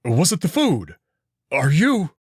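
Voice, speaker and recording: A protective wolf prince from a visual novel, voiced by a man, earnest and urgent. His slightly slow, husky delivery swings very widely in pitch. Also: wildly swooping pitch, darkish tone, no echo, clean studio sound, full character voice. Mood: worried, urgent.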